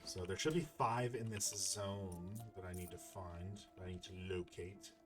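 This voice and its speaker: A man's voice: sits low, normal speed. A man giving casual, chatty let's play commentary, thinking aloud over game music. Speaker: gaming video host.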